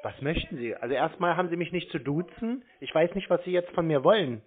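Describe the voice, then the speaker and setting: A man with a slightly high-pitched voice talks over a narrow, tinny phone connection. He is sarcastic and confrontational, bantering mockingly and bluntly with whoever is on the line. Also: animated, quick pace, slightly rough. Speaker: scambaiting host taking scam calls.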